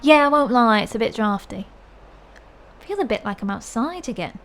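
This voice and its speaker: A woman playing an easily impressed, gently teasing house guest in an audio drama, speaking in a light, conversational way. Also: a little high, expressive, moderate pace. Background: music.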